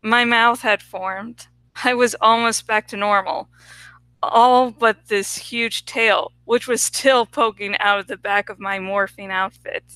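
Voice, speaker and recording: Bright, close-up woman reading a story aloud. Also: on the high side, fairly even intonation, slow, smooth, no room sound, low-quality recording. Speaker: audiobook reader. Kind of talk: expressive first-person story narration.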